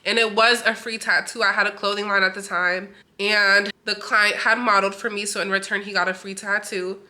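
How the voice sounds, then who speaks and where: A woman's voice: medium pitch, level tone, fairly quick, pushed and bright. A woman tattoo artist chatting casually in a vlog, her voice stuffy and nasal from a cold, with many "like" fillers.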